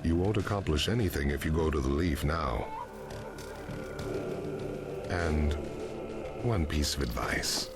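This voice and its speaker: A man playing a calm, sinister villain in an anime game, giving orders in a cold, measured, authoritative way. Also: very deep voice. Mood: coldly commanding. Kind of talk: English anime game voice acting. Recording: loud music over voice.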